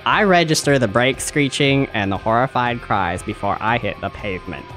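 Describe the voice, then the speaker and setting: A man's voice: medium pitch, moderate pace, fairly bright tone, faint music behind. A man speaking as a podcast book club panelist and reader. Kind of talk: casual chat and dramatic book reading.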